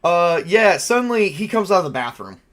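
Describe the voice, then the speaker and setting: A man narrating a tabletop roleplaying game casually, with animated, informal storytelling as game master and player. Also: high voice, a little fast.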